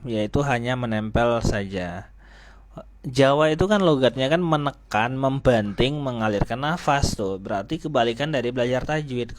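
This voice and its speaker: A male Quran recitation teacher giving a tajwid lesson, patiently explaining where each letter is formed and slowly demonstrating the sounds in repeated drills, with an easy, joking manner toward his students. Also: medium pitch.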